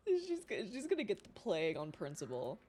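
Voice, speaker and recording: A woman's voice: on the low side, huge pitch swings, normal speed, darkish tone, slightly rough. A woman streamer whose first language is not English, chatting casually with her viewers, swearing freely and laughing often.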